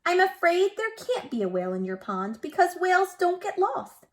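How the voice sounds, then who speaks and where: A woman's voice: very high voice, sing-song, normal speed, pitched above the performer's own voice. A woman voicing a polite, firm male teacher who instructs a child in a picture-book read-aloud. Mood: correcting.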